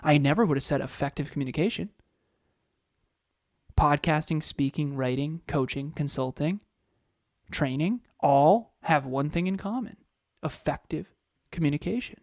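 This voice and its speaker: A man's voice: on the high side, normal speed, dry recording, clean studio sound. A man in his thirties co-hosting a motivational podcast, talking with energy, firing off rhetorical questions and repeating phrases. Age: 30s. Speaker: podcast co-host.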